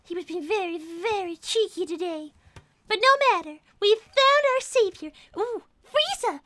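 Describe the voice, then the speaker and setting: A very high, bright little rabbit girl, prim and naive. She speaks in formal, earnest phrases with dramatic indignation, like a cartoon character. The sound is dry and close. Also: expressive, moderate pace, dry recording. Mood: matter-of-fact. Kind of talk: improvised in-character livestream comedy roleplay.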